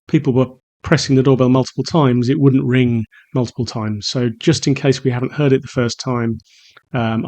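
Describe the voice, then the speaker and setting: A man's voice: medium pitch, darkish tone. A man giving a casual product review, explaining things informally and a little hesitantly. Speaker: product reviewer and home renovator.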